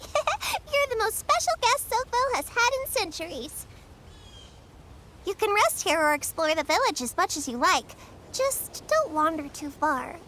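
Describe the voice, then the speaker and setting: Very high, chirpy young game creature. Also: female voice, a little slow, noisy background, full character voice. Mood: cheerful, eager. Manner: animated, earnest, chatty. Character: small chirpy excitable young village creature, eager storyteller. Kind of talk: video game character dialogue. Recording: game music behind the voice.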